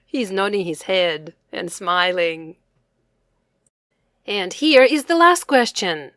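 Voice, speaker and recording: A woman presenting a quiz for young children, asking questions and answering them in a deliberate, carefully enunciated, teacherly manner. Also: mid-range voice, lively intonation, a bit bright, no room sound, studio quality.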